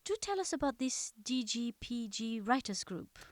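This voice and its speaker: A woman's voice: fairly high pitch, big pitch swings, moderate pace, no room sound. A woman interviewer from a theatre company asking prepared questions. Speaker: interviewer, theatre artistic director.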